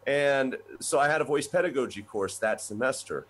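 A man in his forties, a voice professor from the United States, telling his background in a relaxed, rambling way over a video call from a small office. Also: medium pitch, moderate pace, slight background noise. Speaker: guest, voice professor. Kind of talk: conversational storytelling. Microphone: webcam on video call.

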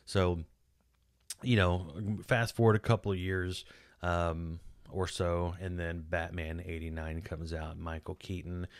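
Forties Texan male host, low voice, chatty rambler, dry close-mic home studio. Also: flat delivery, unhurried, dry recording. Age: 40s. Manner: rambling, chatty, frequent restarts and fillers. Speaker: podcast host. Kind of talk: casual solo video commentary. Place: small home studio room. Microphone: boom-mounted studio mic close up.

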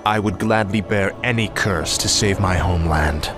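A proud young warrior prince acted by a man in a fantasy game, obsessed and vengeful. He declaims with commanding intensity in a lowish voice, over loud music. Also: moderate pace, lightly acted. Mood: resolute. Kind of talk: fantasy video game cutscene acting.

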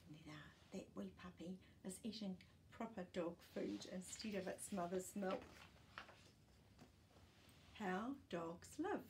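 A woman's voice: medium pitch, takes it slowly, darkish tone, a little hiss or hum. A woman in her sixties reads a children's fact book aloud as a storytime host, speaking carefully and simply for young listeners. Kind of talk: reading a children's non-fiction picture book aloud. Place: small living room, indoors. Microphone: camera a short distance away.

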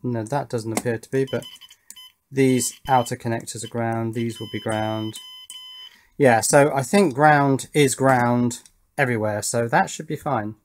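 A man's voice: mid-range voice, steady tone, slight background noise. A middle-aged man, presenting a hands-on electronics project as casual commentary, musing and putting questions to himself as he goes. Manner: musing, self-questioning, measured. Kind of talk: casual hands-on workbench commentary, thinking aloud. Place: indoor electronics workbench.

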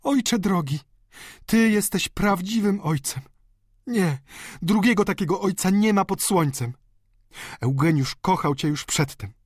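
Young devoted daughter, put on by a man, audiobook: raised pitch, affectionate, emotional, dry sound. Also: expressive, measured pace, pauses often, slightly rough, no room sound, exaggerated voice. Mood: adoring. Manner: gushing, tender.

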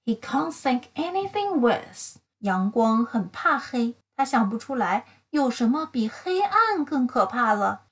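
A woman reading a children's picture book aloud, with careful, deliberate and expressive phrasing. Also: on the high side. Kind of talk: slow bilingual children's story read-aloud.

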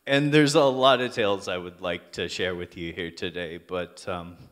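A man's voice: low voice, fairly even intonation, normal speed, fairly bright tone. A young man giving a best man toast with dry, self-deprecating humor. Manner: dry self-deprecating humor, laughs at his own jokes. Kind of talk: wedding toast speech.

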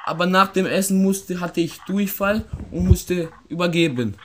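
Higher-pitched young man, faint noise. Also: normal speed. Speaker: prank caller, video host. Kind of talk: prank phone call with asides to viewers. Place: indoor room with wooden floor.

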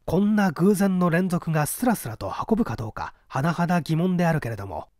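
A man reading literary fiction aloud as a scripted narration, with measured, deliberate phrasing. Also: a little high, expressive, a little mellow, dry recording, studio quality. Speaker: audiobook reader, narrator of classic mystery fiction.

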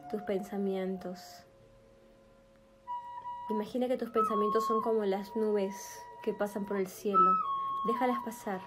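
A woman leading a guided meditation in a soothing, gentle manner, speaking in short, spaced phrases. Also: medium pitch. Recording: background music with flute. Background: meditation music with flute.

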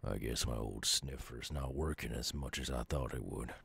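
An extremely deep, heavily gravelly werewolf with a Southern US drawl, in an ASMR audio roleplay. He is a gruff, wounded outcast, weary and guarded. His words come slowly and haltingly, in a very husky rasp. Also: a bit bright, no echo, heavily acted. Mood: suspicious.

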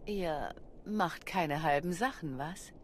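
A woman's voice: low-pitched, takes it slowly, slight background noise. An actress playing a composed, resolute young female commander in a video game, speaking in a businesslike, earnest way. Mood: probing. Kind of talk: video game cutscene acting.

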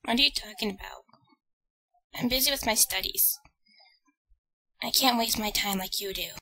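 A woman voicing a small, excitable, indignant schoolboy who whines and protests in an anime fan dub. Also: fairly high pitch, normal speed, vocal fry, heavily acted. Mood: sullen, hurt. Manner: whiny, protesting.

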